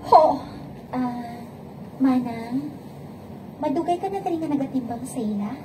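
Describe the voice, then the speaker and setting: A woman's voice: sits high, measured pace, dark tone, a little hiss or hum, rough recording. A woman playing an earnest, principled young bride-to-be in a radio romance drama, with a Hiligaynon Filipino accent. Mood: curious, gentle.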